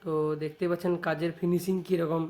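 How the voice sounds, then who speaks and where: A man's voice: on the high side, little pitch movement, quick pace, a little mellow. A man with a West Bengali accent casually showing off products as a shop owner vlogging, often adding little checking tag phrases. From West Bengal, India. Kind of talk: casual product showcase vlog.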